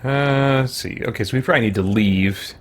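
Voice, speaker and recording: A man's voice: mid-range voice, brisk, very noisy. A man giving casual let's play commentary, muttering to himself as he plays. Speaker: gaming channel host. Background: music.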